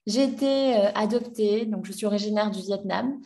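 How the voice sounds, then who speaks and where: A young woman in her twenties tells her story as a podcast guest, pausing often and restarting her sentences. Her voice sits at a middle pitch. She sounds a little away from the microphone. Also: dark tone. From France. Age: 20s. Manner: hesitant, many fillers and restarts. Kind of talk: personal story in a podcast interview. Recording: voice a bit distant from the mic.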